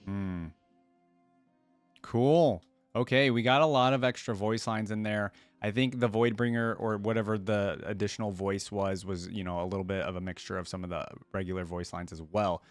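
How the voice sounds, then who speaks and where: A man with a fairly low, clear voice gives casual commentary as a video host. He rambles conversationally, working through his thoughts aloud. The sound is dry, with very little room in it. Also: steady tone, moderate pace, no echo, faint music behind. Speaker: YouTube reaction host. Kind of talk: casual reaction commentary to camera.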